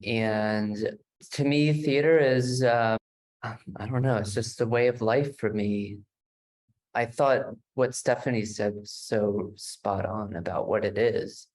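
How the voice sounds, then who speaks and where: A man's voice: on the low side, slow pace, a little mellow, rough recording. A man on a video call, joking at first and then earnest about acting as an outlet. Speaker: acting student guest. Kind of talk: casual video call introduction.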